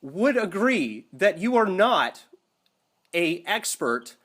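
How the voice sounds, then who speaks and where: A man with a notably high voice speaks in a recorded telephone dispute. His phrasing is formal and long-winded, and he presses his points insistently. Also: big pitch swings, slow, fairly bright tone. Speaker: caller in a phone dispute. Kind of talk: argumentative phone conversation. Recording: secretly recorded phone call.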